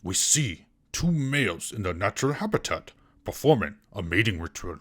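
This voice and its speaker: A man's voice: lowish voice, big pitch swings, moderate pace, fairly bright tone. A man narrating in the style of a parody nature documentary. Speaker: comedy narrator.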